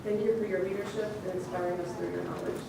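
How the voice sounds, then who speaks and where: A woman in her twenties, a graduating student, giving a ceremony speech with light jokes and warm thanks. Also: lowish voice, steady tone, quick pace, creaky. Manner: light, joking and tearful at times, frequent laughs. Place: large hall. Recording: echoey hall, far from the mic, audible noise.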